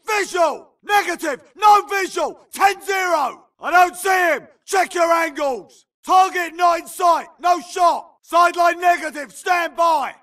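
An actor playing an urgent infantry grunt in a video game, barking clipped combat callouts. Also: male voice, extremely high pitch, pushed and bright, far from the mic, full character voice. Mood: commanding, urgent. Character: gruff, urgent infantry grunt soldier in battle. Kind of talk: shouted combat barks.

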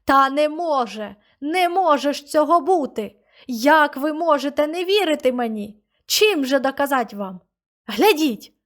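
A woman's voice: on the high side, a little slow, close to an everyday voice. A woman voicing a desperate young man, a stranger, pleading with a hostile crowd in anguished outbursts.

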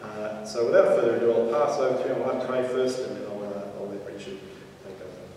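A middle-aged man introduces a guest speaker from a podium in a large hall, in a halting way with many fillers. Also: medium pitch, slight background noise. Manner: halting, with many fillers, softening into a quiet prayer. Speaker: event host. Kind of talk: introduction and prayer. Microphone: podium mic, distant. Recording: echoey hall from far off.

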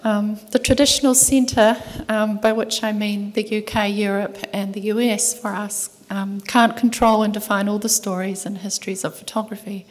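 A middle-aged woman with a New Zealand accent reading a conference paper in a soft, measured voice, from a table mic in a large lecture hall. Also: mid-range voice, little pitch movement. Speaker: conference speaker, museum curator. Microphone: table microphone at panel desk.

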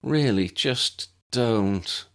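A man's voice: on the low side, very slow, clear, resonant tone, voice pushed up. A man voicing an exasperated, teasing but friendly teenage girl prefect in an audiobook. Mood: pleading. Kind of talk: audiobook character voice.

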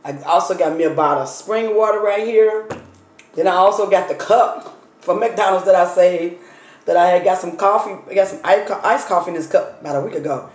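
Hoarse woman chatting over her dinner. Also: fairly low pitch, brisk. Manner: chatty, talks while unpacking and eating food. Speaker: vlogger. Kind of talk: casual mukbang storytime chat. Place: dining table in a home room. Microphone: phone camera on the table. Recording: echoey room with faint noise.

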